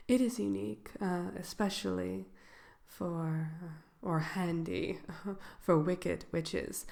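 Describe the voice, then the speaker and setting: A woman playing a polite, slyly malicious wicked witch selling brooms in a soft, hesitant, unhurried ASMR roleplay. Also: on the low side, vocal fry. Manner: hesitant, unhurried, genteel shopkeeper patter. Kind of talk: ASMR roleplay, soft-spoken and partly whispered.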